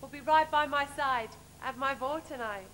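A woman's voice: sits high, moderate pace, slight background noise. A woman playing a lovesick young prince as a pantomime principal boy, earnest and dreamy. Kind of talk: theatrical musical pantomime. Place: large theatre stage, indoors. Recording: echoey theatre, far off, loud music.

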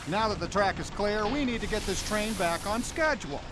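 An actor playing a stern middle-aged train conductor in an animated video game, formal, clipped and pompous. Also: male voice, high voice, fairly quick, noisy background. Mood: brisk, formal.

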